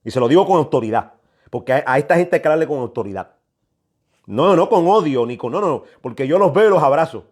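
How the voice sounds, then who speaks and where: A man's voice: fairly high pitch, animated, fast talker. A man preaching a prophetic message, emphatic and admonishing, often repeating his phrases for weight. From New York, United States. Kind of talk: prophetic sermon, exhortation.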